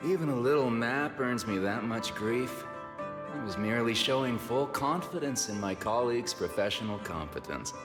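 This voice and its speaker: Guy voicing a sleepy laid-back scientist dude in a game, dry humor, super echoey, music loud behind. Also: medium pitch, expressive, moderate pace, natural voice. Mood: wry, relaxed. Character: drowsy, unhurried male scientist fond of naps and drinking.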